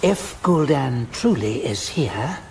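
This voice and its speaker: A man's voice: mid-range voice, very animated, takes it slowly, creaky, a little hiss or hum, full character voice. A male narrator voicing a stern, cold female elf warden who speaks in clipped, accusing lines. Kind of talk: audiobook character voice.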